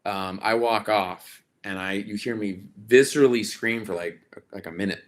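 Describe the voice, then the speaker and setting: A man with a slightly raspy voice hosting a casual livestream chat, rambling warmly with lots of fillers and restarts. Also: fairly low pitch, moderate pace. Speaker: livestream host. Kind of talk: casual live chat with viewers.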